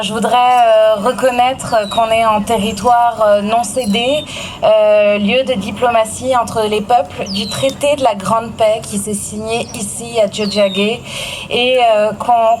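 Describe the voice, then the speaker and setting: Higher-voiced woman speaking at a noisy outdoor event. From Egypt. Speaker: elected city official. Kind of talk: public speech to a crowd. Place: outdoor event at an old port.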